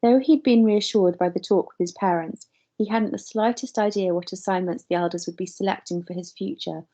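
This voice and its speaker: A woman reading a novel aloud as a teacher, in an expressive storybook narration style. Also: medium pitch, fairly quick.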